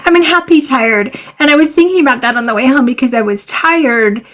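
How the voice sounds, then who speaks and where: A middle-aged woman, a professor and researcher, co-hosting a podcast in casual chat, her voice a little hoarse, upbeat and quick to laugh. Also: high voice, fairly bright tone.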